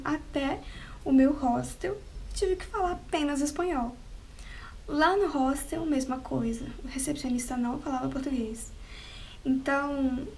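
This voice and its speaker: A woman's voice: sits high, a little hiss or hum. A woman telling a travel story to the camera in an unscripted, chatty way, often laughing and tagging on "né". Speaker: travel vlogger. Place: at home, with cats nearby.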